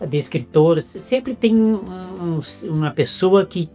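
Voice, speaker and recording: A Brazilian-accented man hosting a casual interview, halting and repeating words as he searches for them. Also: high voice, warm tone, soft background music. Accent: Brazilian Portuguese. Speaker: interviewer host.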